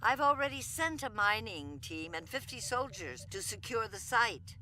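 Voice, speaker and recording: A woman's voice: fairly high pitch, expressive, normal speed, bright, forceful tone. A woman playing a proud, haughty Romulan commander in a sci-fi audio drama, curt and commanding.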